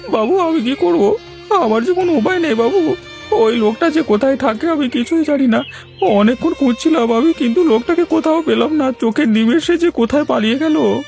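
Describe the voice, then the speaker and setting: A man voicing a timid, poor village errand man in a cartoon, creaky and plaintive as he pleads and laments. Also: very high-pitched, animated, brisk, a little mellow, soft background music, exaggerated voice. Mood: pleading. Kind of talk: cartoon voice acting.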